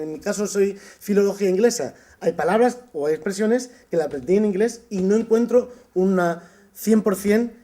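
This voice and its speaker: A man from Spain giving a summer course lecture, thoughtful, often pausing with "eh" and restarting his sentences. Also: fairly high pitch, warm tone. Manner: thoughtful, with frequent "eh" pauses and restarts. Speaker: university lecturer.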